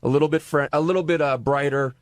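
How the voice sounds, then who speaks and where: A man's voice: a little high, fairly even intonation, fast talker, no room sound, clean studio sound. A man arguing casually on air, defensive and hesitant, putting his points in piecemeal phrases.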